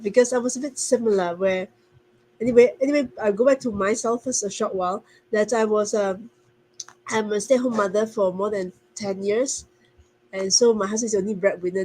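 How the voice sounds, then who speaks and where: A woman's voice: mid-range voice, darkish tone, poor audio. A woman from Singapore, a stay-at-home mother, earnestly telling her story on a video call, often restarting and correcting herself. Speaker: guest speaker. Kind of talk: casual testimonial on a video call.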